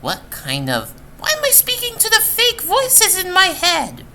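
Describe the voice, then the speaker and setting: A man playing an excitable kid gamer, pitched up. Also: a little slow, slight background noise, exaggerated voice. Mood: incredulous. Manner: exclaiming, incredulous muttering. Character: excitable young boy gamer, jokey then uneasy. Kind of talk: amateur horror audio drama acting.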